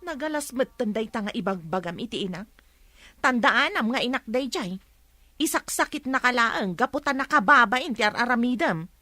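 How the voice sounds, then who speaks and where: Patient, high-voiced reproving wife. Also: female voice, lively intonation, normal speed, pushed and bright, dry recording, soft background music. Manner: pleading, reproving. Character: patient, apologetic wife chiding her husband, adult woman. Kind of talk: radio drama acting.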